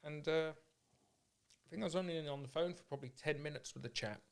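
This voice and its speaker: A man's voice: fairly high pitch, fast, vocal fry, clear, resonant tone. A man hosting a casual podcast, speaking in an informal, candid and agreeable way. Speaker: podcast host. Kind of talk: casual chat.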